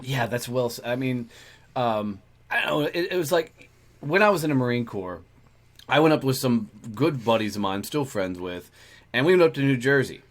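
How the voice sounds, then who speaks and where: A man's voice: mid-range voice, lively intonation, moderate pace. A middle-aged man hosting a podcast, talking bluntly and swearing freely in casual conversation. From Texas, USA. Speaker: podcast host.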